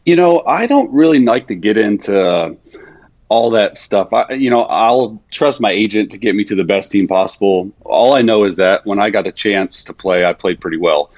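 A man in his thirties speaks in a lowish, easygoing voice, filling his answers with casual fillers and restarts. The sound is dry and close, with no room around it. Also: moderate pace, no echo. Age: 30s. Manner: relaxed, conversational, frequent fillers and restarts. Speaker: guest, NFL backup quarterback. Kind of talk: casual podcast interview.

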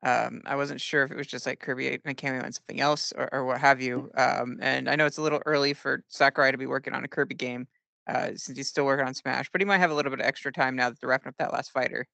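A man's voice: mid-range voice, steady tone, quick pace, vocal fry, sharp tone, no room sound. A man co-hosting a casual podcast chat, talking freely and starting sentences with ums. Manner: chatty, lots of ums. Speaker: podcast co-host.

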